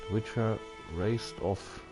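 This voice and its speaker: A man doing casual gameplay commentary, halting as he thinks aloud and reads on-screen text. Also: fairly low pitch, fairly even intonation. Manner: halting, musing, puzzled asides. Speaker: gaming video host. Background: game music.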